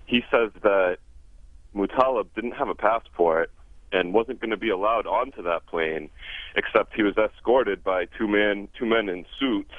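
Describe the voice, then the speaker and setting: A man's voice: on the low side, dry recording. A man calling in to a talk show over the telephone to give his opinion. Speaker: phone-in caller. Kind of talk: opinionated call-in remarks. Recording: narrow, dry telephone line.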